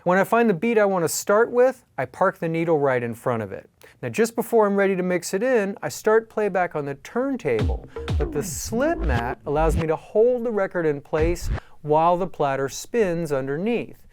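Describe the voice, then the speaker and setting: A man calmly explaining step-by-step techniques in a tutorial, as an instructor. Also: high-pitched, moderate pace. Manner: calm, explanatory. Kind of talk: tutorial narration. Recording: faint music behind.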